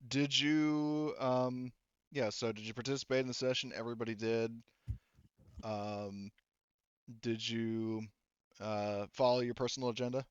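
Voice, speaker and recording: A man with a clean, resonant voice at a middle pitch debriefs a tabletop session as game master. He is informal and rambling, with casual swearing. The audio is close and dry but a little muffled. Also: fairly even intonation, unhurried, clear, resonant tone, no echo.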